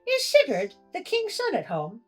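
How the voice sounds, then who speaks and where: A woman's voice: very high-pitched, huge pitch swings, normal speed, faint music behind, pitched above the performer's own voice, heavily acted. A woman voicing a terrible giant sister from a storybook, with formal, archaic speech. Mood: formal, probing. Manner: formal archaic greetings and questions. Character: terrible giant sisters of a queen, looming and greedy.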